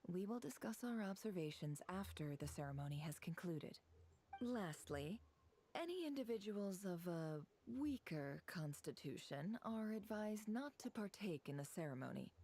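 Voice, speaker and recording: A shrewd, composed anime game leader speaks in a low, velvety dark voice. Her delivery is formal, measured and quietly commanding.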